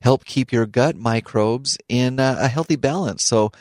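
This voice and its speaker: A man's voice: medium pitch, moderate pace, darkish tone, no room sound, studio quality. A middle-aged man hosting a radio health show, chatting casually, laughing often and stumbling over repeated words as he reads. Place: radio studio. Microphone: studio microphone.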